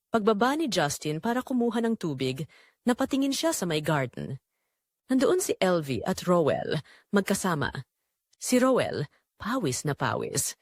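Woman narrating drama with a lively, expressive pitch. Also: no echo, studio quality. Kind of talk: scripted dramatic story narration.

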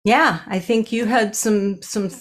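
A woman's voice: mid-range voice, a little slow, over a noisy crowd. A woman in her sixties hosting a casual podcast chat over a video call from her home, talking in an earnest, chatty way. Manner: chatty, earnest, gestures with hands while talking. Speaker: animal communicator and podcast host. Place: home room with bookshelves. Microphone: webcam on a video call.

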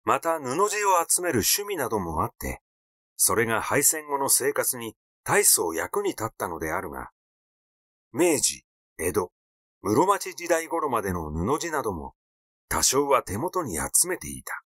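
Expressive male audiobook narrator, lively intonation. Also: mid-range voice, a little slow, no room sound, studio quality. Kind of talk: scripted literary reading.

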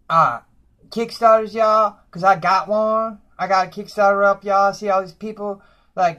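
A man's voice: high voice, pushed and bright, highs cut off. Man playing Barbara, a brash dumpster-dwelling woman. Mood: boastful. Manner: self-promoting, ends nearly every phrase with "y'all". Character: brash, boastful broke woman who lives in a dumpster. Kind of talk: comedy character monologue.

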